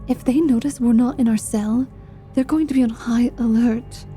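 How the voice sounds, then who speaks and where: A woman's voice: fairly high pitch, fairly even intonation, normal speed, mellow, soft background music, lightly acted. A woman playing a wry, foul-mouthed adventurer and reluctant hero in an intimate ASMR fantasy roleplay. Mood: hushed dismay.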